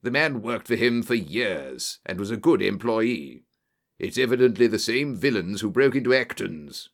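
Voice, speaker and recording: A man voicing a bluff, genial old-soldier colonel, an English country gentleman, in an audiobook story. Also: lowish voice, lively intonation, moderate pace, gravelly. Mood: cool, matter-of-fact.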